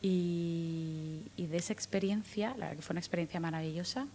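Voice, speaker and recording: A woman in her thirties from Guatemala, with a faint Guatemalan accent softened by Spanish from Spain, answering interview questions as a guest lawyer with frequent restarts and fillers. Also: fairly low pitch, a little fast, slight background noise. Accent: light Guatemalan, mostly Spanish from Spain. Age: 30s. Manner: thoughtful, many restarts and fillers, lively rises when retelling.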